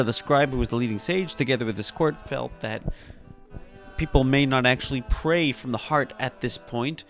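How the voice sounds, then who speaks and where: Rabbi, male, gravelly mid-pitched voice, earnest and emphatic teaching delivery, soft music bed. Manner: earnest, emphatic, builds to animated peaks. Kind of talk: sermon-style teaching.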